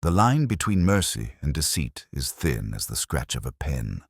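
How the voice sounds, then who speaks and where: A man playing a melancholy, world-weary English police inspector, narrating his own story in a measured, literary, reflective way. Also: a bass voice, sing-song, breathy edge, no echo, studio quality, exaggerated voice. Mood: reflective, resigned. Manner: measured, brooding, literary delivery. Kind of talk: scripted first-person narration, literary detective drama.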